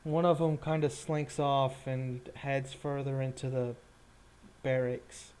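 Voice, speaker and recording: A man's voice: medium pitch, level tone, slow, a little mellow, smooth. A man narrating a tabletop role-playing game as game master, casual and descriptive.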